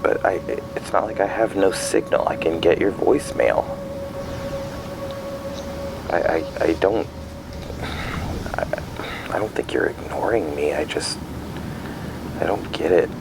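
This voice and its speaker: An actor playing an anxious, bewildered middle-aged father, speaking haltingly in a naturalistic audio drama. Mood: weary, confused. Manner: halting, hesitant, trailing off. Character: anxious, isolated, bewildered father leaving voicemails. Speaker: actor in a fiction podcast. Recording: noisy line with loud background rumble.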